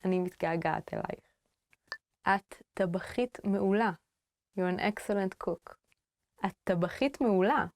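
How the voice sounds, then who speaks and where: A playful woman hosting a video language lesson, upbeat and chatty. Her voice sits at a medium pitch and is recorded close and dry, with no room sound. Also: racing, pauses often, darkish tone, dry recording. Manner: playful and upbeat, with frequent laughs. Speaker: language teacher.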